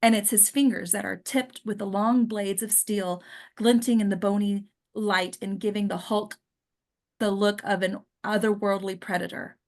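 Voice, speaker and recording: Woman reading horror screenplay directions, mid-pitched. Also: fairly even intonation, moderate pace, darkish tone. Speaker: stage-direction reader at a table read. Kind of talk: dramatic scripted narration.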